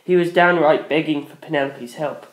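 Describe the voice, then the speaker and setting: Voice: mid-range voice, fairly even intonation, normal speed. A narrator reading a dramatic first-person story. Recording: echoey room.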